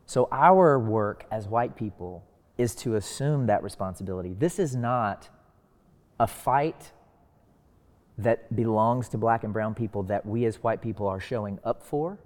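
A man speaking as a discussion guest, explaining earnestly in deliberate, emphatic phrases. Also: on the low side, darkish tone. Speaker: discussion panelist. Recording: reverberant hall-like echo.

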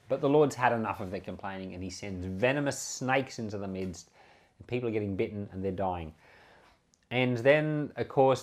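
An Australian man preaches informally, like a pastor talking a small point through in conversation. His pitch moves up and down in a very lively way around a medium register. He explains step by step and often puts questions to the listener. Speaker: pastor and Bible podcast host. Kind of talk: informal sermon, explaining scripture.

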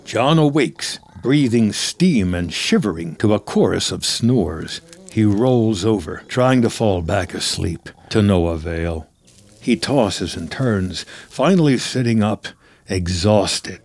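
A man's voice: on the low side, expressive, slow pace, creaky, a little mellow. A man reading scripted screenplay narration as the narrator of a drama.

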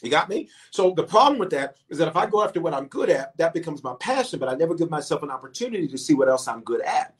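Middle-aged preacher guy, kinda high voice, talks soft then jumps loud, keeps repeating himself and calling out to viewers. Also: quick pace, few pauses. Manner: animated preaching, swinging from quiet asides to sudden loud emphatic peaks; talks directly to online viewers, calling for waves and shout-outs. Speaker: pastor and bishop. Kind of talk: livestreamed sermon and prayer.

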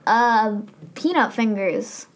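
A young woman co-hosting a casual chat, joking and quick with comebacks. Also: fairly high pitch, lively intonation. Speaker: podcast co-host.

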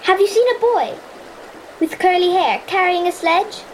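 A woman's voice: very high voice, very animated, unhurried, slight background noise, full character voice, rough recording. A woman playing an adventurous young girl with an Irish accent in a fairy-tale audio drama. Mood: polite.

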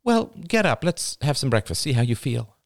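A man's voice: mid-range voice, very animated, moderate pace, gravelly, no echo, studio quality, pitched above the performer's own voice. A man voicing a weary young mother who coaxes her small son in a slightly forced sing-song. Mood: coaxing.

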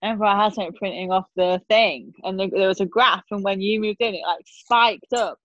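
A woman's voice: mid-range voice, steady tone, fairly quick, a bit bright, dry recording. A woman in her thirties with a Northern English accent, co-hosting a casual chat with a friend and teasing her with frequent laughs. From Northern England. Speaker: podcast co-host. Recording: dull, dry lo-fi sound.

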